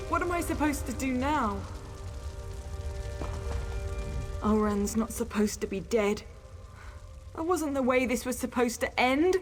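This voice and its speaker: An actress playing a grieving, furious young dark elf woman in a fantasy video game, intense and bitter. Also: sits high. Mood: anguished.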